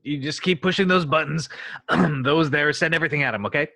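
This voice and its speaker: A man's voice: fairly high pitch, fairly quick, bright, forceful tone, dry recording, heavily acted. A man acting a cocky, flustered starship captain in a sci-fi script read, blustering and bossy. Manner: hesitant stammering bluster, throat-clearing, barked orders. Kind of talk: screenplay table read acting.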